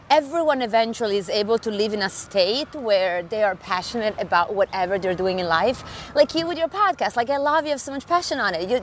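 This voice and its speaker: A woman's voice: on the high side, slight background noise. A woman from Italy with an Italian accent, speaking as a podcast guest in casual, enthusiastic conversation while walking outdoors. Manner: enthusiastic, earnest. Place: outdoors in a large city park.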